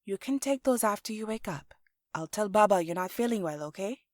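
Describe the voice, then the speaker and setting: A woman voicing a caring older sister, a young dancer, gentle, reassuring and affectionate, in an audio storybook. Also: mid-range voice, animated, brisk, no echo, studio quality, lightly acted. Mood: gently reassuring.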